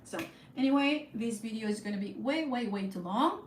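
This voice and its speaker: A woman's voice: fairly high pitch, expressive, normal speed. Chatty woman with a Spanish accent. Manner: rambling, chatty, frequent fillers and restarts, laughs often. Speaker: YouTube live streamer. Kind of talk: casual live-stream chat. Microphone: phone on a tripod. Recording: echoey room with faint background hiss.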